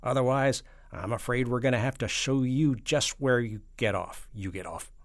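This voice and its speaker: Man voicing a blustering, excitable storybook president, swooping pitch. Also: normal speed, vocal fry, no echo, clean studio sound, exaggerated voice. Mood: taunting, threatening. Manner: snapping, exclaiming, loud and emphatic. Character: blustering, excitable, childishly hot-tempered male president. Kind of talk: children's storybook character voice.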